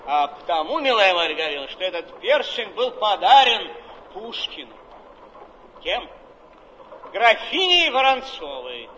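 An actor playing an aging gentleman writer who reminisces with expansive theatrical flourish, recorded in a reverberant hall. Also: high-pitched, sing-song, unhurried, pauses often, heavily acted. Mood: animated, courtly. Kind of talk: theatrical stage acting. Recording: reverberant hall, faint background noise.

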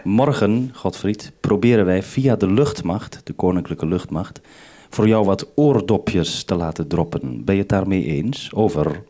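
A man's voice: low-pitched, lively intonation, unhurried, mellow. A Dutch man working as a radio programme maker, giving methodical, instructive briefings over a two-way radio link.

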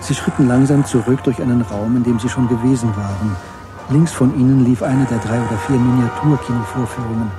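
A man narrating a German radio crime drama in a measured, matter-of-fact way. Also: mid-range voice, steady tone, music in the background. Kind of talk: scripted audio drama narration.

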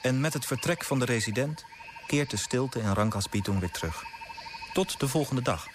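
A man's voice: mid-range voice, animated, moderate pace, noisy background, close to an everyday voice. A man playing an earnest young storyteller who narrates a colonial tale vividly in a radio play. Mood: vivid, measured. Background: music under the voice.

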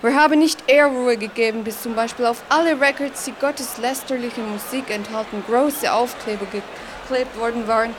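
A woman's voice: on the high side, fairly even intonation, normal speed, few pauses, noisy background, close to an everyday voice. An actress playing a prudish, sanctimonious society lady in a satirical radio play, pompous and preachy, mixing English words in. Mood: self-righteous, earnest.

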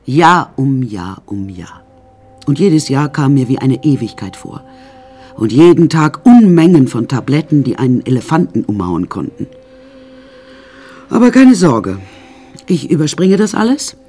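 An actress playing an older, sardonic and imperious confined woman who narrates her macabre life in a wry, drawn-out, confiding way in a radio drama. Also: extremely low pitch, big pitch swings, mellow, breathy edge, exaggerated voice. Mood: wry recounting.

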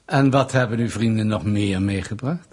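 A man's voice: lowish voice, moderate pace, darkish tone, husky. An actor playing a suspicious, smug state security interrogator in a radio play, pedantic and sardonic as he presses his questions. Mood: insistent. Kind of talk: Dutch radio play acting.

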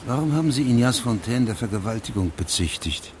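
An actor playing a grave, persistent investigating judge in a German radio play, questioning insistently in a husky, breathy voice. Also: male voice, medium pitch, expressive, a little fast, darkish tone, slight background noise, lightly acted. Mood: stern, probing.

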